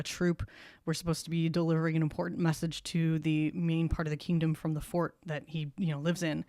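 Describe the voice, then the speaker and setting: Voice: medium pitch, smooth, dry recording, clean studio sound. Hesitant tabletop player with a mid-range voice. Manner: hesitant, pausing to search for words. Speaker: player in a tabletop role-playing game. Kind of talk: casual improvised character description.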